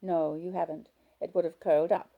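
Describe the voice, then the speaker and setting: A woman voicing a chatty, gossipy nurse who talks briskly. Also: lowish voice, level tone, creaky, phone-quality sound. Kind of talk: audiobook character voice.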